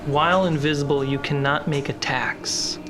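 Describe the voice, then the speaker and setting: A game master narrating a tabletop role-playing game in a measured way, pausing between phrases, with music behind the voice. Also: medium pitch, fairly even intonation. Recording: background music under the voice.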